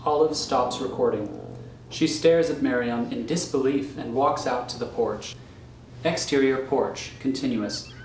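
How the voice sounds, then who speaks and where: Echoey, faint noise, male narrator, mid-pitched, scripted stage directions. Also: a little slow, darkish tone.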